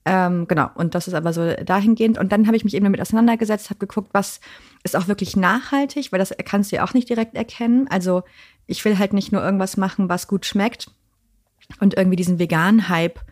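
A woman's voice: medium pitch, a little mellow. Chatty woman founder, lots of fillers. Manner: chatty, many fillers, quick asides. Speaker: founder and podcast guest. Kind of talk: casual interview chat.

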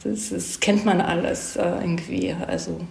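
A woman being interviewed as a screenwriter, explaining conversationally, haltingly and with digressions, often tagging questions onto her phrases. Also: lowish voice, steady tone, creaky, darkish tone, slight background noise. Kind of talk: conversational explanation of storytelling craft. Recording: echoey room.

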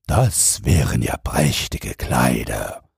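Storybook emperor, read by a male narrator: vain, musing, very deep and husky, unhurried. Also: animated, no echo, studio quality, exaggerated voice. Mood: musing, self-important. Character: vain, pondering emperor, self-important fairy-tale ruler.

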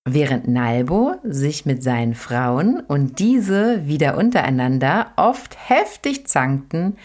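A woman podcast host reading an old folk tale aloud in a lively, expressive storytelling manner. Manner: lively, expressive read-aloud with sudden high lifts. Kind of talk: storybook narration.

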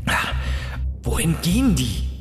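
A man's voice: fairly high pitch, wildly swooping pitch, slow, heavily acted. A man playing an anxious professor on the run in a science-fiction audio drama, with short, worried exclamations. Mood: anxious, hurried. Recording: echoey hall reverb, loud music.

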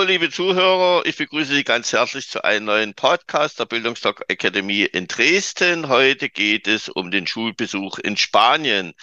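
Man, podcast host, formal, measured, bright voice, dull lo-fi recording. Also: on the low side, dry recording. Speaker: podcast host, exchange advisor. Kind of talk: podcast interview.

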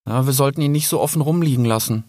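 A man's voice: mid-range voice, fast talker, darkish tone, no room sound, clean studio sound, voice pushed up, natural voice. A man voicing an earnest, cautious young male animal in a storybook, his voice raised well above his own.

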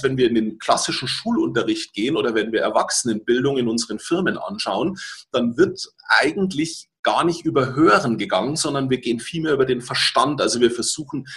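A man's voice: medium pitch, a bit bright. A man, a native German speaker, presenting an explanatory webinar as a language-learning coach in a didactic way, repeating key words and checking in with listeners.

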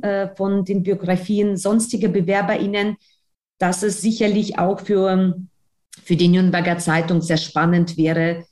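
A woman's voice: medium pitch, a little mellow. A woman speaking German as a second language with a foreign accent, giving a personal account in an interview and repeating words for emphasis. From outside Germany. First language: not German. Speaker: interview guest, newspaper editor and trainer of trainees.

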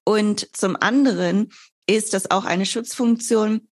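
A woman's voice: mid-range voice, steady tone, slow pace. A woman in her twenties, a fitness coach, explaining things conversationally as an interview guest. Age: 20s.

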